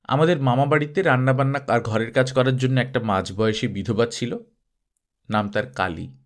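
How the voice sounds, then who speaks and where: Guy telling a story, audiobook style, expressive reading, medium pitch. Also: moderate pace. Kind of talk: expressive scripted story narration.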